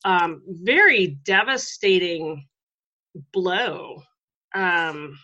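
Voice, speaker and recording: A middle-aged woman hosting an interview, talking in a relaxed, reflective way with lots of ums and you-knows. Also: on the low side, extremely slow. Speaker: podcast host. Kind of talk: conversational interview. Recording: dull lo-fi audio.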